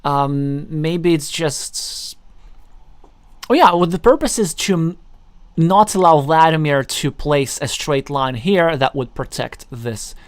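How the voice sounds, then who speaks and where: Guy with russian as first language commentating a board game, bit high pitched, works through moves out loud, really dry sound. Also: takes it slowly, clean tone, no room sound. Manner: analytical, thinking aloud. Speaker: tournament commentator.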